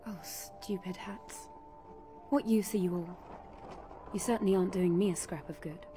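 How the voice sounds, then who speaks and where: A woman's voice: on the low side, fairly even intonation, moderate pace, mellow, natural voice. An actress playing a polite, lonely young shop girl in a radio drama. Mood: weary. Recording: music under the voice.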